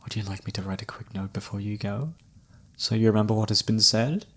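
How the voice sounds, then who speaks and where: A man playing a mysterious, flirty palm reader in an ASMR roleplay, speaking softly in a formal, courtly way. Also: on the low side, a little fast. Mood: soft, cryptic. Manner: soft-spoken, formal, courtly. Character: mysterious flirty palm reader, a wandering male fortune-teller.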